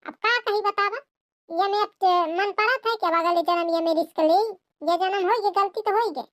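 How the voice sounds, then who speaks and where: A woman's voice: very high voice, normal speed, dry recording, studio quality. A woman with a Bagheli accent voicing an exasperated married village sister-in-law in a comic cartoon, rambling and complaining dramatically. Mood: resigned grumbling. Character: exasperated, long-suffering married village sister-in-law, grumbling about her husband.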